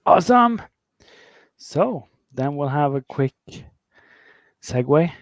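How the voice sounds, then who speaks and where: Relaxed male meetup host, gravelly tone, dry close sound, chatty and halting. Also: a little high, dry recording. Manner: relaxed, hesitant with many fillers. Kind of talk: casual online meetup hosting.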